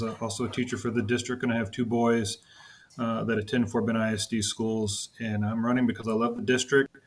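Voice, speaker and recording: A man in his thirties introducing himself as a school board candidate in an upbeat, brisk way. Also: mid-range voice, slight background noise. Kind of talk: campaign introduction.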